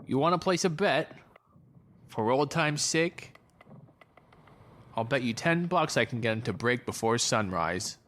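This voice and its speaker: A man plays a hard-drinking old friend in an audio drama. His voice is medium in pitch, and he comes across as brash and nostalgic, a gambler at heart. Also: expressive, unhurried, pauses often, fairly bright tone. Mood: cocky.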